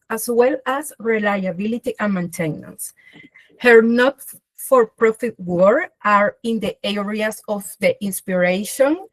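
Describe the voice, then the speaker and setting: A woman's voice: a little high, no echo. Woman hosting an online webinar. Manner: reads a guest bio with small slips and restarts. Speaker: webinar host. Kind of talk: formal introduction. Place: online video call.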